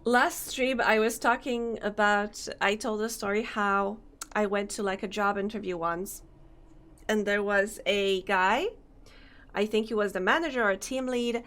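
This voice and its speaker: A young woman streaming a game, chatting casually, reading on-screen text aloud and laughing often, her voice slightly hoarse. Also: mid-range voice, fairly even intonation, a little slow, a bit bright. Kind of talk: casual let's-play commentary.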